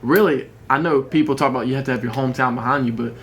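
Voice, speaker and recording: A man's voice: mid-range voice, very fast, a bit bright, slight background noise. Laid-back young man, candid and self-mocking. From South Carolina, raised in North Carolina. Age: young adult. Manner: candid, self-deprecating, laughs easily. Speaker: rapper guest. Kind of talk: casual radio interview. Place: radio studio.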